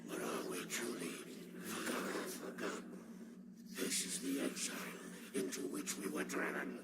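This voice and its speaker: An actor voicing a sinister exiled demon in a gothic video game, gloating in eerie, menacing, repetitive phrases. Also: male voice, lowish voice, expressive, normal speed, very noisy, close to an everyday voice. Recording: distant voice in loud ambience.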